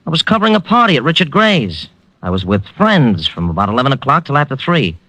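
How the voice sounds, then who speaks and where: An actor playing a nervous, slick male gossip columnist making quick, defensive protests in an old radio drama. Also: mid-range voice, big pitch swings, bright, forceful tone, no room sound, low-quality recording. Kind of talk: 1950s radio drama acting.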